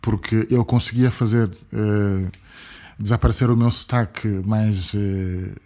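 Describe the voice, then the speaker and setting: A man's voice: on the low side, steady tone, measured pace, dark tone. A man from Senegal with a French-tinged accent, talking as a guest in an interview, emphatic and repeating words for stress. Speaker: guest, activist. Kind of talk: conversational interview, earnest. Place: podcast studio.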